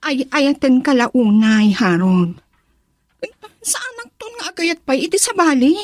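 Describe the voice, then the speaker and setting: Coy young sweetheart, high voice, slow drawl, sulky, Ilocano Filipino accent, radio drama. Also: female voice, big pitch swings, dry recording, clean studio sound, heavily acted. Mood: coy, pouting.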